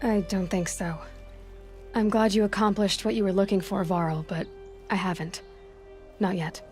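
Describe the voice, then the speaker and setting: A woman's voice: mid-range voice, fairly even intonation, moderate pace, darkish tone, close to an everyday voice. Determined young huntress, music behind played by a woman. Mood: guarded, soft. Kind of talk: video game cutscene acting.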